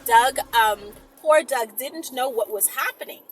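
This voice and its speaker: A woman reading a story aloud in an expressive, informal, giggly manner with chatty asides. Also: high-pitched, a little slow, soft background music, rough recording.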